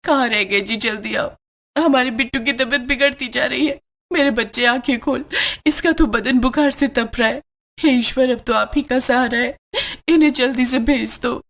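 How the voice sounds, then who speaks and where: A woman's voice: high-pitched, moderate pace, a bit bright, voice pushed up. Woman playing a fretful, distressed poor mother. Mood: distraught pleading. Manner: fretful, pleading. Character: distressed poor mother, worried devoted wife. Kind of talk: Hindi cartoon story character voice.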